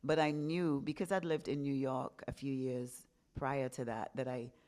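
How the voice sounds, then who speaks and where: Very low-voiced woman in her fifties. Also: little pitch movement, moderate pace, a little mellow. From England. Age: 50s. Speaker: podcast host being interviewed. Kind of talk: candid conversational storytelling.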